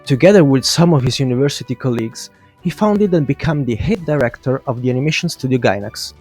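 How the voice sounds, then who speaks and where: A man's voice: medium pitch, lively intonation, fast talker, a little mellow. Brash man narrating over music. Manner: brash, opinionated, addresses viewers directly. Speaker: video essay narrator. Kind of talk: scripted video essay narration with casual profanity.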